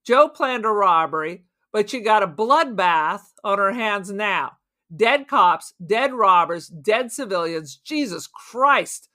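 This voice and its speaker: A woman's voice: mid-range voice, lively intonation, slow, sharp tone. A woman playing a suspicious, cynical robber on the run in an audio drama, arguing in sarcastic, streetwise slang. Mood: nervous, pragmatic. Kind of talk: audio drama crime acting.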